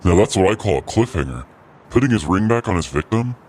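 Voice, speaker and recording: A man's voice: low-pitched, sing-song, fast talker, darkish tone, slight background noise. A man voicing a holiday cryptid who acts as a podcast guide, in scripted hosting narration. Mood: eerily genial. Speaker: host.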